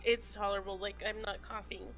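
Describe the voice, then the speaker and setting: A woman's voice: medium pitch, level tone, fairly quick, fairly bright tone, slight background noise. A young woman co-hosting a podcast, her voice stuffy and congested from the flu, talking in an easygoing, agreeable way. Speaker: podcast co-host. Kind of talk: casual chat.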